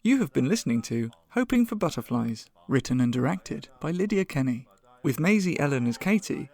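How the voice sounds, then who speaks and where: Man, podcast announcer, scripted credits read, medium pitch, faint musical backing.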